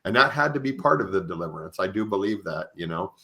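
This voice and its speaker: Deep-voiced, bright-toned male podcast host. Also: animated, quick pace. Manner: informal, often tags phrases with "you know". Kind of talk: conversational interview.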